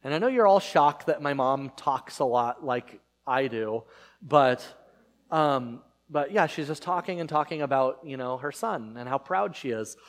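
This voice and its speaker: A man's voice: mid-range voice, animated, unhurried. A man in his thirties, a pastor whose first language is Spanish, giving a casual, conversational sermon with many fillers and restarts.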